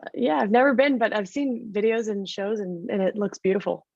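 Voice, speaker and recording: A woman's voice: mid-range voice, fairly quick, creaky, darkish tone, dry recording. A woman hosts an interview over a video call, sounding upbeat and encouraging as she asks her questions. Her voice is dry and close, with the dull, lo-fi tone of call audio. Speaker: host, interviewer.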